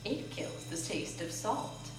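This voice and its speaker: A woman's voice: mid-range voice, animated, takes it slowly. A woman giving a dramatic, emphatic reading of a literary story in a live stage performance. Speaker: reader in a staged ensemble reading. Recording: echoey distant live theater recording with music. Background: music and sound design.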